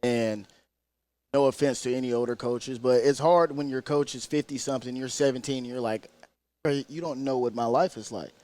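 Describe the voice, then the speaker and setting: A man's voice: medium pitch, steady tone, normal speed, a little mellow. A man in his twenties, an assistant football coach, speaking earnestly and in a motivational way in a podcast interview. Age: 20s.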